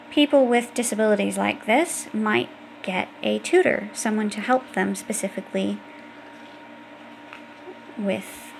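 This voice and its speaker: A woman's voice: a little high, creaky, slight background noise, rough recording. A woman reading an educational children's book aloud, explaining things directly to young listeners. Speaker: audiobook reader.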